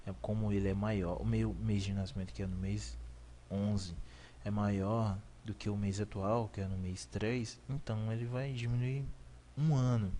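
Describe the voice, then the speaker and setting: A man's voice: fairly low pitch, flat delivery, moderate pace, mellow, a little hiss or hum. A young man, teaching a beginner coding lesson over a screen recording, explaining in a repetitive way, correcting himself and asking "ok?" to check in. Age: 20s. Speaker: programming instructor.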